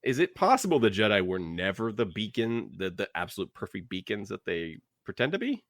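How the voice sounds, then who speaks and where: A man's voice: on the low side, big pitch swings, a little fast, dry recording, clean studio sound. A man co-hosting a casual book discussion podcast, rambling and restarting his sentences as he thinks aloud. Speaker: podcast host.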